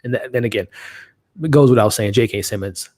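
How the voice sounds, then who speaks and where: A man's voice: lowish voice, fairly quick, darkish tone, no echo. A man hosting a live watch-along stream, a bit nasally from allergies, talking casually in a rambling way with many restarts. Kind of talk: casual live-stream commentary, reading chat.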